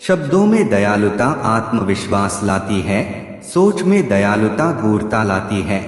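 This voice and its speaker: A male narrator reads motivational sayings in measured short phrases. His pitch moves in lively, expressive swings. The voice rings with a long, hall-like echo, and music plays behind it. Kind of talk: scripted motivational quote narration. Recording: echoey hall reverb with background music.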